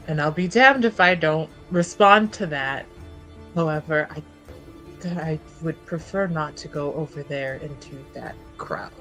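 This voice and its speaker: A woman playing an uneasy but resolute female adventurer in a tabletop game, speaking with measured, formal reluctance. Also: sits low, fairly bright tone, full character voice.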